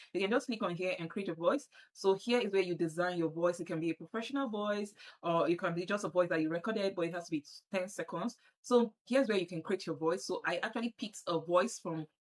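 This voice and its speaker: A Nigerian woman with a Nigerian accent walking viewers through a software setup on screen, often repeating herself as she clicks. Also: on the low side. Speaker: tutorial presenter. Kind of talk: screen-share software tutorial.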